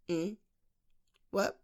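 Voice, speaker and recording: A British woman playing a teasing, self-deprecating best friend in her late thirties in a chatty, halting ASMR roleplay monologue. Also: on the low side, a bit bright, husky, full character voice. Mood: fond, wry. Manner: halting, chatty, with sighs and chuckles. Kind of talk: ASMR roleplay acting, intimate conversational monologue.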